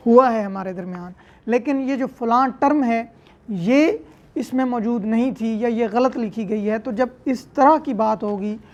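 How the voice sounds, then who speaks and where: Higher-pitched Pakistani woman lecturing, muffled audio. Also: darkish tone. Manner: didactic, repeats and rephrases each point. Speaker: law lecturer. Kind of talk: explanatory lecture, reading statute and explaining it.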